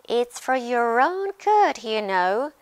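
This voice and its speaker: A mischievous male storybook poltergeist, acted out by a woman, pitched very high and sing-song. He taunts with cackling glee and mock innocence. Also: sing-song, slow pace, no echo. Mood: mock saintly.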